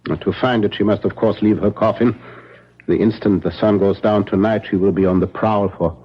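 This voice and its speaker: A solemn vampire-hunting professor for old radio drama. His low voice is husky and deliberate, with weighty pauses and insistent repetition. The sound is slightly muffled. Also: steady tone. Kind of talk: 1970s radio drama acting. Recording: muffled 1970s radio broadcast.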